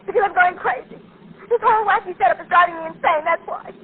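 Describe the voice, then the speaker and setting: A woman's voice: very high-pitched, quick pace, narrow, tinny audio. An actress in 1940s American radio drama playing a rattled Broadway leading lady, snappish, defiant and slangy. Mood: flustered indignation. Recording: thin, hissy 1940s radio broadcast recording.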